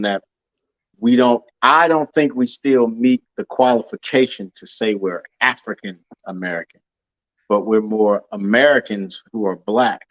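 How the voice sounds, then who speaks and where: A man's voice: fairly low pitch, no echo, dull audio. A man hosting a casual discussion over a call, earnest and often repeating words as he thinks. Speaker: podcast host.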